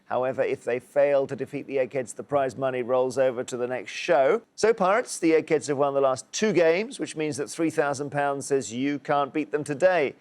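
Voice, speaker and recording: Quiz show host, playful. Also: male voice, medium pitch, normal speed, hardly any pauses, darkish tone. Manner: playful, chuckling banter with contestants. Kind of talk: TV quiz hosting, scripted links and banter.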